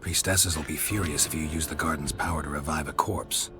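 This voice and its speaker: A man playing a stoic, dry-witted monster hunter in a video game, terse and deadpan. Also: a bass voice, a little fast, over music. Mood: dry, calm. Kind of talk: understated video game acting.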